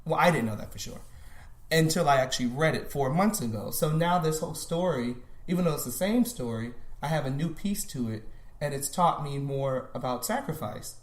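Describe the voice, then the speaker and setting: A man's voice: fairly high pitch, lively intonation, normal speed. A man in his thirties, a podcast guest, talking thoughtfully in a casual conversation and often restarting his sentences. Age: 30s. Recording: roomy echo.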